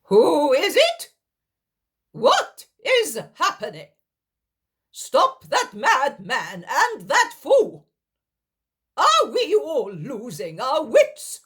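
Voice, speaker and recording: A woman's voice: on the low side, animated, extremely slow, pauses often, darkish tone, exaggerated voice. A woman doing a harsh, domineering villain. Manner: commanding, scornful. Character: harsh, domineering older man, furious villain.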